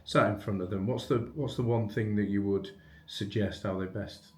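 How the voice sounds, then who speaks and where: A man's voice: on the low side, fairly even intonation, normal speed. A man hosting an unscripted business webinar discussion, putting questions and thoughts in a conversational, loosely phrased way. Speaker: webinar host and interviewer. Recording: echoey room.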